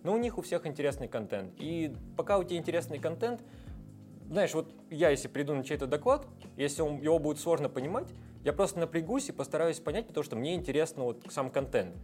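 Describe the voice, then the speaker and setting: A man's voice: on the high side, animated, fast, a little mellow, faint music behind. A man guest in a casual interview, explaining technical ideas at length with frequent hesitation sounds. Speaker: guest, software developer.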